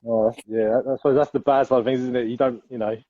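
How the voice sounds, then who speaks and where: A man's voice: medium pitch, steady tone, fast, dry recording, phone-quality sound. A man hosting a casual chat over a video call, friendly and fond of tag questions. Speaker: host.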